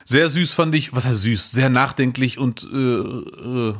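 A man in his thirties co-hosting a casual podcast, telling stories in a lively, jokey way. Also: medium pitch, unhurried. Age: 30s. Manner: animated storyteller, lively and jokey. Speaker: podcast co-host.

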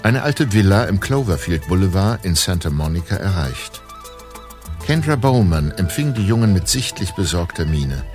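An actor playing the narrator of a German detective audio drama, reading scripted story passages. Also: male voice, sits low, sing-song, moderate pace, darkish tone. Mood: measured suspense. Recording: music under the voice.

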